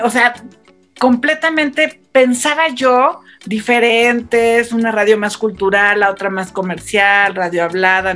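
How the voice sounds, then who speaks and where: A woman's voice: fairly high pitch, fairly bright tone. A woman with a Mexican accent, talking informally as a radio panel guest and explaining her opinions in a chatty way. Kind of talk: conversational panel talk.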